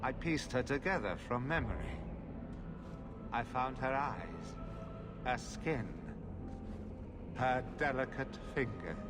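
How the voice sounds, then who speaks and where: Slow, softly menacing deranged necromancer. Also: male voice, mid-range voice. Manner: theatrical, slow, savoring each phrase. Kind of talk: video game voice acting. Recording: loud music behind the voice.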